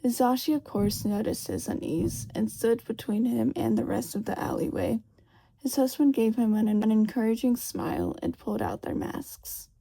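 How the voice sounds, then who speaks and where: A woman's voice: fairly high pitch, sing-song, moderate pace, creaky, warm tone, slightly rough, rough recording. A woman reading a fan fiction story aloud as scripted narration. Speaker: fan fiction audiobook reader.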